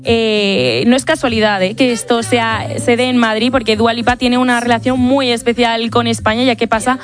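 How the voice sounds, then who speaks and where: A woman's voice: fairly high pitch, steady tone, normal speed, faint music behind. A woman with an accent from Spain presenting a live radio news bulletin. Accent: Spanish (Spain).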